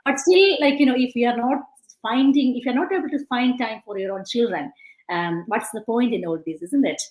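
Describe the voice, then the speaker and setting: A woman's voice: a little high, a little fast, a little mellow. A woman hosting a live-stream interview over a video call, chatty and full of "like" and "you know". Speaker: host. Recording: roomy echo.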